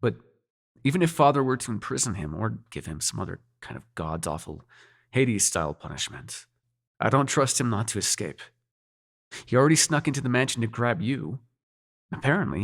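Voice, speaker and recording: A man's voice: on the low side, very animated, brisk, creaky, dry recording, clean studio sound, exaggerated voice. A man playing a cocky, sarcastic demon prince with a tender side in an intimate audio roleplay, speaking casually with teasing and swearing. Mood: grim, scheming. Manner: casual, sweary, teasing, hesitant when sincere.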